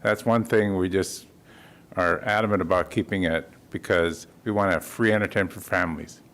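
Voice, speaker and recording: A man's voice: low voice, steady tone, a little fast, a bit bright. A man speaking before a city commission in a folksy, joking way, urging a yes vote. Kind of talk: public comment at a council meeting. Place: council chamber.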